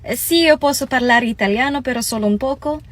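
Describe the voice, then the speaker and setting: Chatty raised woman, slightly high. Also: fast. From Switzerland. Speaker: vlogger introducing herself. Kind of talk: casual self-introduction to camera.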